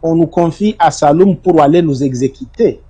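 A man from the Democratic Republic of the Congo, an interview guest, answering in an insistent way with emphatic rises and low trailing ends. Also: moderate pace, mellow, slightly rough. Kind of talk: defensive testimony in an interview.

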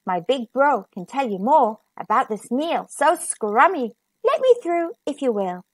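A woman's voice: high voice, sing-song, a little slow, breathy edge, dry recording, full character voice. A woman voicing a small, sweet young billy goat who coaxes the troll. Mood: coaxing. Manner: sweet and coaxing.

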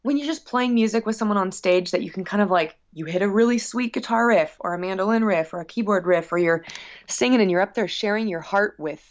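A woman speaking as a musician guest in a casual interview, telling stories in an effusive, animated way. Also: medium pitch, quick pace, highs cut off.

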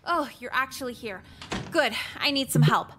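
A jaded high school girl for a visual novel game. Her voice sits fairly high, and she delivers everything with blunt, dry sarcasm and a deadpan edge. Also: normal speed, slight background noise. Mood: dry, exasperated.

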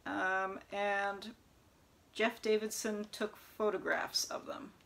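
A young woman chatting casually about new books at home, sometimes reading back-cover blurbs aloud in an even tone, recorded by a camera close in front of her. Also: mid-range voice, slow pace, vocal fry. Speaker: book vlogger. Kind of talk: casual book haul chat with read-aloud blurbs. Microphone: camera in front at close range.

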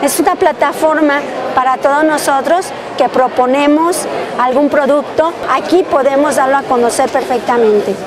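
A woman's voice: sits high, moderate pace. A woman giving a promotional testimonial as an exhibitor. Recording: trade fair crowd chatter.

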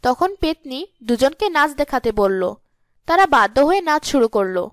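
High-voiced woman storyteller. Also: big pitch swings, a little slow. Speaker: narrator. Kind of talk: scripted storytelling narration for cartoon.

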